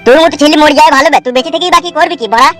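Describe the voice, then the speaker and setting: A man's voice: very high voice, very fast, fairly bright tone. A man voicing a sly, mocking young village schemer in a comedy cartoon, with a taunting manner. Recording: music behind the voice.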